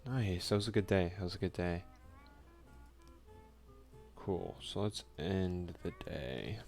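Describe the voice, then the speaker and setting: Low, creaky-voiced man doing ASMR gaming. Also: slow, a little mellow, faint music behind. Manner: reads game text aloud, mutters offhand asides. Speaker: let's play host. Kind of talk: ASMR gaming commentary, soft-spoken and casual.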